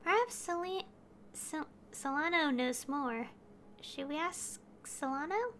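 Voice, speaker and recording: A woman's voice: high voice, animated, slow, fairly bright tone, slight background noise. A woman streaming a video game, reading dialogue aloud and chatting casually to viewers.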